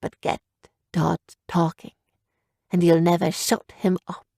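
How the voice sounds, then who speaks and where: Woman voicing an old mage woman, hushed, gentle, lower and soft, gravelly. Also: flat delivery, measured pace, dry recording, clean studio sound. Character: old cloaked woman mage, calm and kindly.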